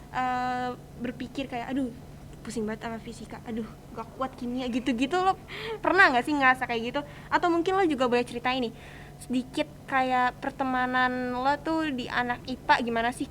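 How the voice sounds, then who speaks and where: A woman's voice: high-pitched, a bit bright, slight background noise. A young woman in her teens with a Jakarta accent hosts a casual student podcast, talking in a chatty, slangy way. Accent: Jakarta Indonesian. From Indonesia.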